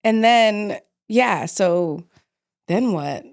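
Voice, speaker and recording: A woman's voice: mid-range voice, lively intonation, extremely slow. A woman speaking as a podcast guest in a candid personal conversation, informal and reflective in how she talks.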